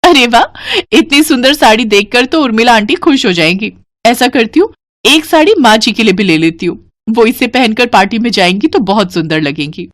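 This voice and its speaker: A polite, eager young daughter-in-law from a storybook tale, voiced by a woman narrator, speaking in a raised, lively voice. She stays respectful and dry-sounding, close to the mic. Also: on the high side, moderate pace, no room sound. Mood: surprised musing.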